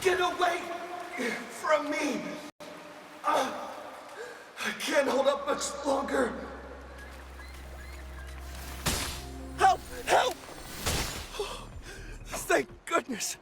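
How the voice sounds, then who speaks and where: A man playing an earnest, eager young adventurer in a video game, halting, breathless and easily flustered. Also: very high voice, lively intonation, creaky, over music, full character voice. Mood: panicked, straining.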